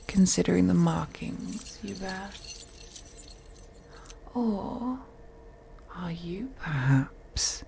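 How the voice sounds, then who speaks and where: A woman voicing a wealthy, possessive vampire in an audio roleplay, breathy and often whispering, with a teasing, haughty and condescending manner. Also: on the low side, mellow, slight background noise. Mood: teasing, probing. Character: wealthy, seductive, possessive female vampire toying with captive. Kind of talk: scripted audio roleplay monologue.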